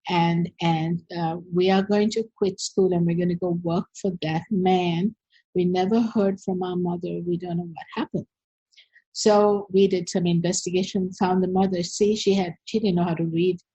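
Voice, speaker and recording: An older woman from Sri Lanka with a low, mellow voice and a husky edge. She tells stories in a relaxed, chatty way, full of "you know" and "um". The sound is dry and a little dull. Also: moderate pace, no echo. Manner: storytelling, frequent fillers. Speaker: guest. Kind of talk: conversational interview.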